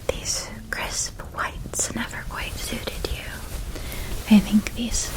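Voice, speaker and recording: A woman playing a gentle, nurturing witch in a whispered ASMR roleplay, speaking in a soft, breathy, doting manner and lingering over details. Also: on the high side, poor audio. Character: gentle, nurturing witch helping a young student pack.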